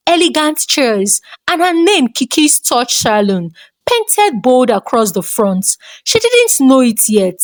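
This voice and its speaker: A woman narrating a scripted folktale as a storyteller. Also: on the high side.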